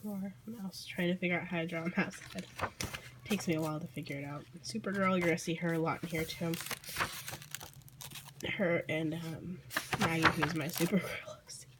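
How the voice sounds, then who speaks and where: Young woman, hoarse, croaky sick voice, low-ish pitch, rambling vlog talk, noisy home camera audio. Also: steady tone, moderate pace. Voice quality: croaky, losing voice. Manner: apologetic, rambling with fillers and small laughs. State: hoarse from illness. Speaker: vlogger. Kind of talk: casual sketchbook walkthrough. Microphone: handheld camera mic.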